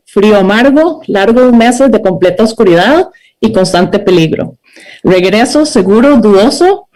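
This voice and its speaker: A woman's voice: medium pitch, darkish tone, rough recording. A Costa Rican woman in her forties giving an earnest, story-driven talk over a video call, with frequent hesitant fillers. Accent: Costa Rican Spanish. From Costa Rica. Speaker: scientist speaker.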